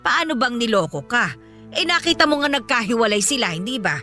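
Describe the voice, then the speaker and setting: Older filipina folk healer, high sharp voice all over the place, blunt, scolding, radio drama. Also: female voice, lively intonation, a little fast, soft background music. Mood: defensive. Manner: folksy, scolding, matter-of-fact.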